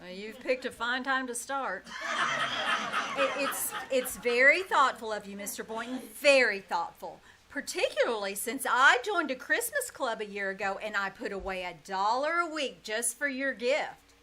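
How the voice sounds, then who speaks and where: A woman playing a wry, quick-witted schoolteacher who delivers dry quips in old-time radio comedy style on a live stage. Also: fairly high pitch, lively intonation, bright, forceful tone. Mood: wry, hopeful. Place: live stage in a small-town theater. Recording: faint noise, live small theater stage.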